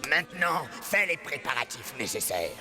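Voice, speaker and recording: An actor playing a tyrannical, gluttonous male mouflon villain in a children's cartoon, gravelly, pompous and theatrical in a menacing way. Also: on the high side, big pitch swings, normal speed, music in the background. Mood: gloating command. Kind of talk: animated children's film dub.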